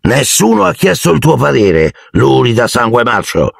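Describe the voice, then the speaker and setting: A man's voice: medium pitch, sing-song, moderate pace, gravelly, dry recording, studio quality, voice pushed up, exaggerated voice. A man voicing a spoiled rich teenage bully with a smug, drawling sneer. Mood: spiteful.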